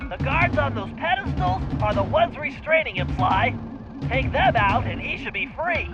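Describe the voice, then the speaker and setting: A brainy, anxious little turtle from a cartoon video game. His voice is very high and nasal, and he speaks in precise, wordy instructions. Music plays clearly behind him. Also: animated, moderate pace, dull audio. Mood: cautious, instructive. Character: brainy, anxious male turtle tech sidekick giving mission orders. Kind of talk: animated video game dialogue.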